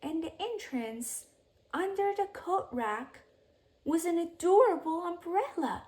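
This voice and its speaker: A woman narrating a children's storybook aloud in an expressive bedtime-story style, with measured phrasing and softly hushed sentence endings. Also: very high voice.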